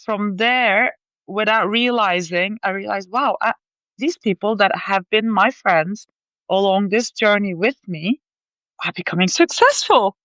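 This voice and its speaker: A woman from Belgium telling her story as a podcast guest, animated and chatty, with lively asides. Her voice comes through a little dull and muffled, in a dry, close space. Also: mid-range voice, measured pace, dry recording. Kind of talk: conversational interview.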